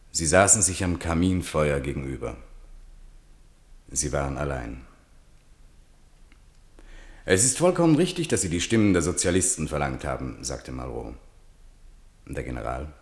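Very deep-voiced male radio play narrator. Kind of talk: scripted literary narration in a documentary, report-like tone.

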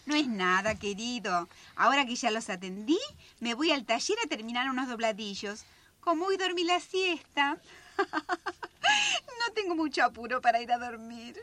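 A woman's voice: a little high, big pitch swings, normal speed, sharp tone, slight background noise. An actress playing a doting, fussy, homely mother of grown children in a radio drama, with a Rioplatense Argentine accent and a fond, fussing manner.

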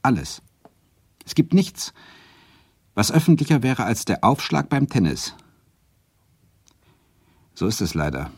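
An actor playing a wry, self-doubting Swedish literature lecturer who narrates a radio drama in a reflective, deliberate way. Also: male voice, on the low side, sing-song, a little mellow, breathy edge, dry recording, exaggerated voice. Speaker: narrator and lead character.

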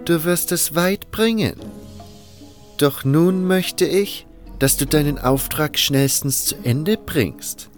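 Man playing a stern, measured camp boss. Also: a little high, soft background music. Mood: stern, insistent. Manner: slow, formal, authoritative. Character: commanding, demanding male camp boss, formal and measured.

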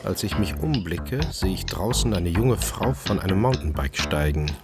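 A man's voice: deep voice, expressive, normal speed, music in the background. An actor playing a male restaurant chef who narrates his own crime story in a wry, observant way, in a radio drama. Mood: wry, reflective. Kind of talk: German radio drama, first-person narration and dialogue.

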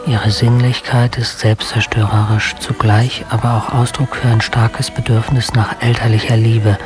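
A man playing an obsessive, self-righteous diarist in a radio drama, speaking solemnly and deliberately. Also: medium pitch, flat delivery, close to an everyday voice. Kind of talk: dramatic monologue, diary entry. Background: music.

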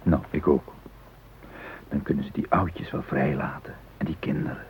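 An actor playing a practical, caring male hostage in a radio drama, talking in a measured, reasoning way. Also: a bass voice, steady tone, a little mellow, a little hiss or hum, poor audio. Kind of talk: naturalistic radio drama acting.

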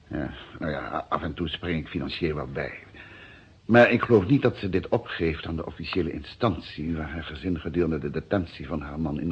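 Company director, radio play: bass-deep, rough husky voice, halting, guarded, formal; dull old recording. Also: male voice, heavily acted. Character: uneasy company director giving a guarded statement.

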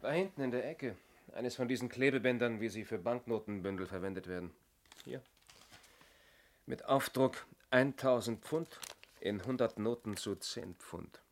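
An actor playing a keen, questioning junior police detective in a 1970s German radio play, inquisitive and pointed, with a sharp, forceful voice. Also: male voice, mid-range voice, moderate pace, close to an everyday voice. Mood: businesslike.

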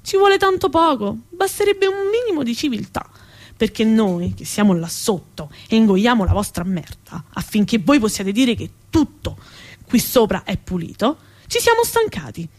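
A woman's voice: a little high, big pitch swings, normal speed. A woman performing a comic theatrical monologue as an indignant, sarcastic, foul-mouthed female rat who sees herself as a proper lady. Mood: indignant, demanding. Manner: ranting, sarcastic, emphatic.

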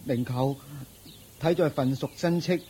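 A man's voice: on the high side, a little slow, dark tone, breathy edge. A man with a Hong Kong Cantonese accent playing a luckless, penniless nephew, humble, sighing and pleading, in a radio drama.

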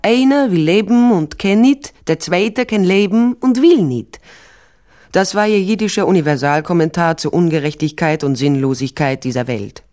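A woman's voice: on the low side, animated, normal speed, warm tone, close to an everyday voice. Dry, blunt female investigator, acted by a woman. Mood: wry, reflective. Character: tough, wry, insistent female private investigator. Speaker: narrator and lead actress. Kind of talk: radio crime drama narration and dialogue.

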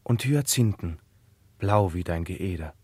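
An actor playing a gentle, poetic young woodland brother, speaking solemn lyrical verse in a radio drama. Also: male voice, low-pitched, lively intonation, a little slow, husky, no room sound, exaggerated voice. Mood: mournful, tender. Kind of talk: German classical radio drama acting.

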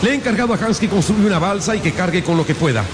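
An actor playing an old professor and explorer in a radio drama, excitable, commanding and theatrical. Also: male voice, high-pitched, racing, background noise. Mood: authoritative. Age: older. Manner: theatrical, exclamatory. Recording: music behind the voice.

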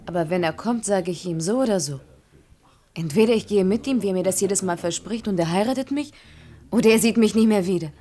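An actress playing a blunt, sceptical, disillusioned sweetheart in a German radio play. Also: female voice, mid-range voice, fairly quick, mellow, natural voice. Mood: bitter, defiant. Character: disillusioned, blunt young woman, a wronged sweetheart.

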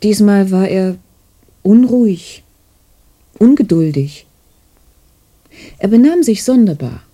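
A worried, persistent girlfriend in a radio play. Her voice is mellow and of medium pitch. She is polite and composed, with a skeptical, questioning manner. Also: lively intonation, slow pace. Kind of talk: 1990s radio drama acting.

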